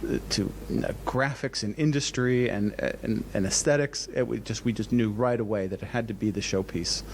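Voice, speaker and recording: A man giving explanatory interview answers as a museum director, enthusiastic but halting, often repeating words. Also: lowish voice, vocal fry, darkish tone, slight background noise.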